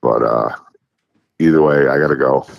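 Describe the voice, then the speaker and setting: A man with a very deep voice joining a sports podcast online as a guest, relaxed and laid-back. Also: normal speed, gravelly, muffled recording, crowd noise. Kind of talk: casual sports chat. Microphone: online call.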